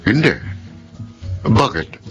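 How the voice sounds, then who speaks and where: An actor playing a concerned middle-aged father with authority, questioning in a measured way in a radio drama. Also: lowish voice, very animated, fairly bright tone, soft background music, exaggerated voice. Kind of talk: Tagalog radio drama acting.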